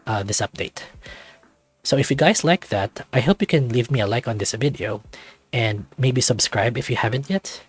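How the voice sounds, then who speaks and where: A man doing casual commentary and read-aloud narration as host of a let's-read series, with a halting way of talking. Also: lowish voice. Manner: hesitant, halting when giving own thoughts.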